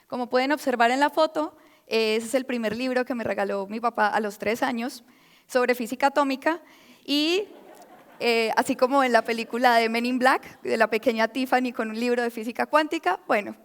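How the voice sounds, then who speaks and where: Young Colombian woman researcher, talking to a hall audience: smooth, fairly high voice, friendly and a bit hesitant, long reverb. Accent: Colombian Spanish. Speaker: predoctoral researcher giving a talk. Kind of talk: public talk to an audience. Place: lecture hall.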